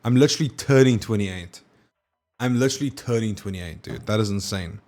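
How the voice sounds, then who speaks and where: A man's voice: on the low side, a little fast, a little mellow. A man, hosting a casual stream chat and talking to his viewers, loose and full of swearing. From South Africa. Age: 20s. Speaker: streamer host.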